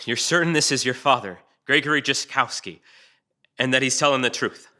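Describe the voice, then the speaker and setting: Guy acting angry bad cop, really sharp forceful voice, taunting, swears a lot, crime drama read. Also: mid-range voice, brisk. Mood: stern. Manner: gruffly confrontational, swearing, taunting. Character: hot-tempered, abrasive male police detective.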